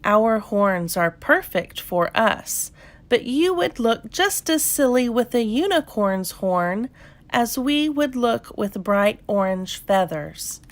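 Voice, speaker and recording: A calm, self-assured unicorn from a children's fable, voiced by a woman. It speaks slowly and matter-of-factly, gently instructing. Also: on the high side.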